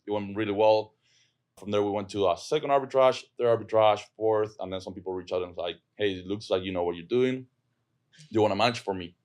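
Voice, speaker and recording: A man's voice: on the low side, a little fast, a little mellow. A man with an Argentine accent, a property manager talking as a podcast guest, earnest and often repeating words as he thinks. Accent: Argentine Spanish. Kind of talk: casual podcast chat.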